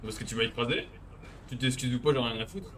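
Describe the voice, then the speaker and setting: Young male streamer, rapid bright delivery, blunt and provocative, gaming chat. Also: mid-range voice, animated. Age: young adult. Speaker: streamer trolling in a roleplay game. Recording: faint background game noise.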